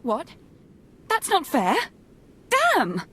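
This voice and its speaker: A woman's voice: sits high, huge pitch swings, very slow, a bit bright, exaggerated voice. An actress playing a spoiled, brash young rich woman in a video game, flippant and sassy. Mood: indignant, bratty. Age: young adult.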